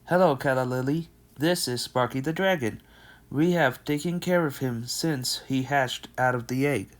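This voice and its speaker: A man's voice: medium pitch, normal speed, close to an everyday voice. A man playing an easygoing, friendly male pony lawman and fond dragon dad in a cartoon comic dub. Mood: relaxed, friendly.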